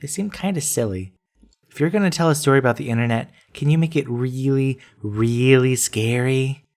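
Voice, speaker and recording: A man's voice: medium pitch, normal speed, darkish tone, voice pushed up, lightly acted. A man voicing a sceptical ten-year-old boy in a story, whiny and unimpressed. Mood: unimpressed, pleading.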